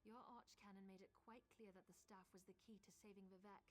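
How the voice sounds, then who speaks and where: An actress playing a determined, earnest young Dark Elf woman in a fantasy video game. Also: medium pitch, fairly even intonation, fast talker, close to an everyday voice. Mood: sombre. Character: determined young Dunmer woman, grieving ally in a fantasy quest. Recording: noisy game audio with ambience.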